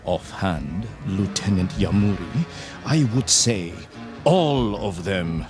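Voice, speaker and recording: An actor playing a ruthless, contemptuous Japanese army captain in a radio drama, commanding and cold, with deliberate, emphatic phrasing. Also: male voice, low voice, lively intonation. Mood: cold, menacing. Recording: music under the voice.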